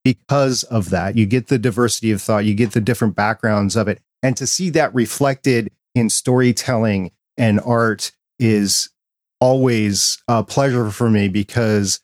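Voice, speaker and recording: A man's voice: on the low side, a little slow, no echo, clean studio sound. A man with a Midwestern American accent, hosting a podcast chat and explaining his views in an earnest, reflective way. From Midwestern United States. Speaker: podcast host. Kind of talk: casual interview chat.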